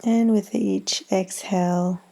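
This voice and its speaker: A woman leading a guided meditation in a gentle, soothing, unhurried manner with long pauses. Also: mid-range voice, fairly even intonation, vocal fry. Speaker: yoga nidra meditation guide.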